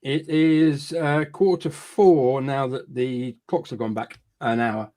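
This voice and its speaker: A man's voice: mid-range voice, fairly even intonation, slow, warm tone. Scottish man, dry and self-mocking, as a guest on a loose chat show. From Scotland. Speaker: panel guest. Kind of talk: casual livestream chat.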